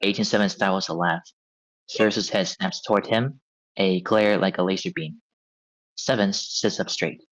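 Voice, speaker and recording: Low-voiced male narrator, dull recording. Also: fairly even intonation, moderate pace. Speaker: narrator reading stage directions. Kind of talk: scripted narration at a live table read. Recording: dull, lo-fi audio.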